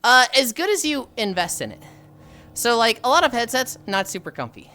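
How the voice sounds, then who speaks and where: A woman's voice: on the high side, big pitch swings, moderate pace, pushed and bright. A woman in her thirties chatting casually on a livestream. She sounds tired and sardonic, sighs often, and swears freely. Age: 30s. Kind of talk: casual stream chat.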